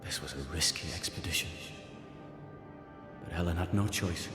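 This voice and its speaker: Actor as deep, echoing ghost husband. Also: male voice, normal speed, natural voice. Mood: stern. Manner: formal, solemn. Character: grave, devoted ghost husband, protective and stern. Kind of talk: video game cutscene acting. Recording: echoing hall-like reverb, music behind.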